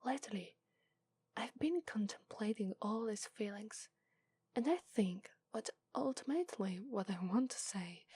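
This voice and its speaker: A woman with an Italian accent voicing a gentle, lovestruck female fox spirit in a soft, whispered ASMR roleplay. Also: fairly high pitch, breathy edge, no room sound, heavily acted.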